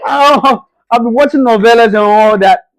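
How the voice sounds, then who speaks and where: A man's voice: very high voice, moderate pace, rough recording. A man from Ghana in a casual chat who often chimes in with short agreements.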